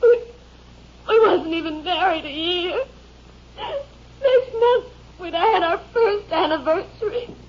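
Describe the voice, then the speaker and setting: A woman's voice: extremely high pitch, expressive, slow pace, long pauses, slight background noise, full character voice. A young woman playing a fragile, grieving country bride, crying as she speaks in 1950s radio melodrama style. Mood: tearful, pleading. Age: young adult. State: crying, tearful. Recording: dull old-time radio recording.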